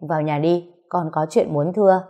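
A polite young adult son pleads respectfully with his parents in an audio storybook, as a woman narrator voices him. The voice stays low and warm throughout. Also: fairly even intonation, moderate pace, dull audio, close to an everyday voice. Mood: pleading.

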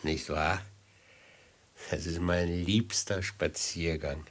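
Genial, slow-talking, husky executioner. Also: male voice, low voice, fairly even intonation. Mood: genial, content. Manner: amiable, unhurried, musing. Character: genial, chatty executioner with chilling calm. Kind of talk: slow German radio play acting.

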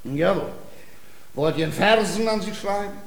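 Pedantic tutor, rather high voice. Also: male voice, normal speed, creaky, roomy sound, low-quality recording. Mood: obliging, formal. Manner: didactic, precise, formal. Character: pedantic, patient male language tutor, self-important. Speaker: actor in a radio drama. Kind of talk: theatrical classic-comedy radio acting.

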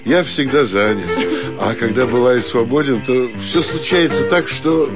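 An actor playing a meek, tired and obliging husband in a radio drama, murmuring short, hesitant replies. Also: male voice, mid-range voice, a little slow, loud music behind the voice, lightly acted. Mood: mild, apologetic.

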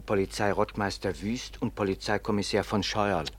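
An actor playing a plain-spoken, deferential servant in a radio play. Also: male voice, on the low side, steady tone, moderate pace, natural voice.

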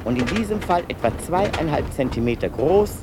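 A man's voice: on the high side, expressive, moderate pace, noisy background, lightly acted. An actor playing a pedantic male asylum inmate in a radio play, talking in a meticulous, lecturing way. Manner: meticulous, lecturing, precise and detailed. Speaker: patient narrating his own thoughts.

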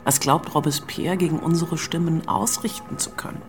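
Proud low-voiced political woman. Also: moderate pace, soft background music. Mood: urgent. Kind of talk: radio play dialogue.

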